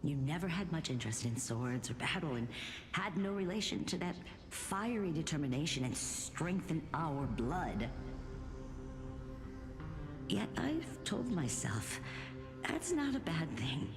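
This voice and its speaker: Slow, solemn old grandmother. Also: low-pitched, very animated, exaggerated voice. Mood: tender, wistful. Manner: slow, solemn, breathy pauses and sighs. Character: aged sky-folk grandmother spirit, tender, grave, weary. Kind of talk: dramatic video game voice acting. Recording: music under the voice.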